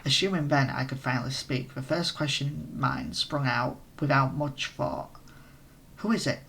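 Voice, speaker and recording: Guy reading a game out loud, kinda raspy and strained, tosses in casual asides. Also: medium pitch, steady tone, normal speed, a little hiss or hum. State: strained, nearly hoarse voice. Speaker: let's play host reading a visual novel. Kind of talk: reading game text aloud with commentary.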